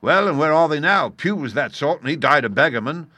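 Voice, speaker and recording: Man acting a forceful, swaggering pirate ringleader. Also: fairly high pitch, lively intonation, a little fast, no room sound, pitched above the performer's own voice. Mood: gloating. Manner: forceful, rustic seafaring dialect. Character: swaggering, scheming male pirate ringleader and ship's cook. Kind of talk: dramatised storybook reading.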